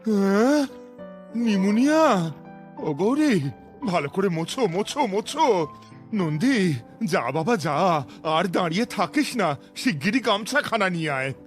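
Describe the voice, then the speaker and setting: A man's voice: high-pitched, sing-song, unhurried, soft background music. A man voicing a flustered, grumbling god and fussing husband in a comic cartoon, with whiny, exclaiming complaints.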